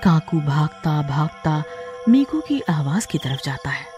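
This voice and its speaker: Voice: mid-range voice, big pitch swings, a little slow, a little mellow. A narrator reading a scripted horror story for a cartoon. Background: background music.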